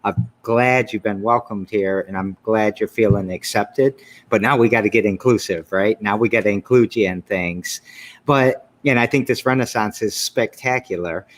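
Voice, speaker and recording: Man with michigan accent, low voice, enthusiastic, rambling host talk. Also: normal speed. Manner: rambling, enthusiastic, trails off and restarts. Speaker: show host. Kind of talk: casual interview chat.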